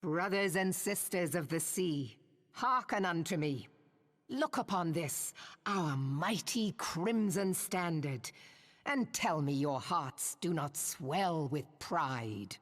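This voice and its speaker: An actress voicing a proud, solemn seafaring woman admiral in a video game, giving a measured, declamatory ceremonial speech. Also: sits low, expressive, full character voice. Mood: proud, stirring. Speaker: military leader addressing followers. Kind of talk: rousing ceremonial oration, video game voice acting. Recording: long hall reverb.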